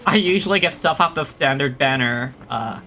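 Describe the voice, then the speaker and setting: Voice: medium pitch, animated, moderate pace, slight background noise. A game streamer giving casual, rambling commentary while playing. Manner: chatty, rambling, with restarts and little exclamations. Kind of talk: casual commentary over gameplay. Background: loud game music and sound effects.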